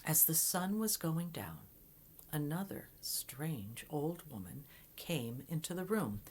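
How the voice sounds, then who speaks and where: A woman telling a folktale aloud in an expressive, dramatic way with deliberate pauses. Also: deep voice, slight background noise. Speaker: storyteller. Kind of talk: oral folktale storytelling, dramatic.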